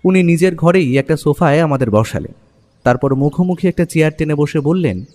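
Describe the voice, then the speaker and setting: A man's voice: a little high, expressive, a little fast, darkish tone. A man with a Bengali accent giving dramatic first-person narration of a detective story. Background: music under the narration.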